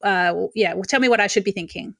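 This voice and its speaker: A woman's voice: medium pitch, fast, gravelly. A woman interviewer asking questions in a curious, conversational way.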